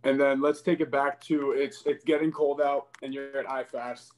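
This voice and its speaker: A man's voice: medium pitch, little pitch movement, moderate pace, a little mellow, slight background noise, rough recording. A man on a group video call, chatting casually as he puts questions to the others. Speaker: call participant asking questions.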